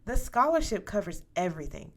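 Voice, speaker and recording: A woman reading the part of an eager, encouraging male school recruiter in a story, with a polite, enthusiastic pitch. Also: big pitch swings, brisk. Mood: upbeat, encouraging.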